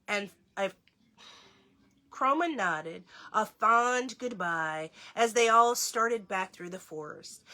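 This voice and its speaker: Bright-voiced woman reading a storybook aloud. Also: mid-range voice. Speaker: host reading a children's book aloud. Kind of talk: expressive storybook narration.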